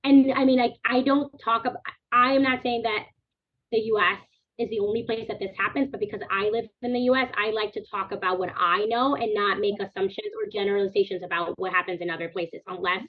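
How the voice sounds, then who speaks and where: A woman's voice: mid-range voice, fairly quick. A woman in her thirties, born in the Dominican Republic, speaking earnestly as a podcast guest in long, flowing stretches of thought. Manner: earnest, animated, talks in long chains of clauses. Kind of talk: podcast interview. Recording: tinny phone-like line.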